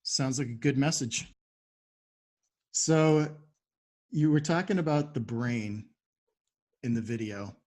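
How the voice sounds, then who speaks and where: A man's voice: medium pitch, takes it slowly, long pauses, darkish tone. A man hosting a podcast interview, asking questions in a conversational way.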